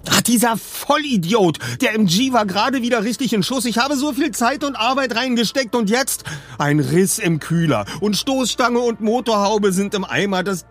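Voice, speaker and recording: Man playing a frantic, high-pitched teenage boy. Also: lively intonation, normal speed, breathy edge, faint music behind. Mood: agitated, angry. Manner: agitated, exclaiming, urgent. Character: frantic, furious teenage boy, upset about his wrecked car. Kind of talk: audiobook character voice.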